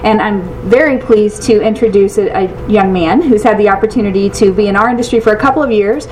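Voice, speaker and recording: A woman hosting an event, giving a measured formal introduction of the next speaker. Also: medium pitch, darkish tone, far from the mic, a little hiss or hum, rough recording.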